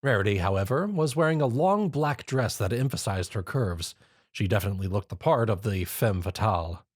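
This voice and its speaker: Animated male story narrator. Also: lowish voice, fairly quick, slightly rough, dry recording, studio quality.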